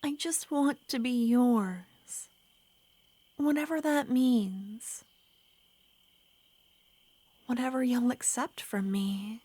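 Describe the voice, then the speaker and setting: A woman voicing an ancient, lovesick female vampire who pleads softly and slips into whispers, in an ASMR roleplay. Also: fairly high pitch, takes it slowly, long pauses, husky, no echo. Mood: pleading. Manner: soft, pleading, drifting into whispers.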